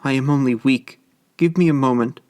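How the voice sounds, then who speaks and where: A man's voice: mid-range voice, normal speed, darkish tone, no room sound, natural voice. A man voicing a pleading young wife. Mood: desperate. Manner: pleading, breathless and urgent. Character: desperate young Hawaiian wife, woman.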